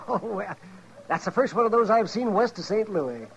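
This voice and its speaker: Excitable high-voiced frontier doctor. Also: male voice, moderate pace, husky, dull audio, exaggerated voice. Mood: amazed, amused. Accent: American. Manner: chuckling, hesitant, folksy. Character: excitable, folksy older frontier town doctor, male. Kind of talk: 1950s radio drama acting. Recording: noisy old radio recording.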